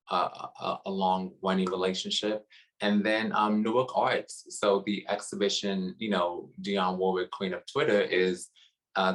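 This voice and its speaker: A man from New City, a guest curator talking casually on a video call, relaxed with frequent pauses. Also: sits low, poor audio. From New York City. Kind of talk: casual interview conversation. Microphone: video call webcam.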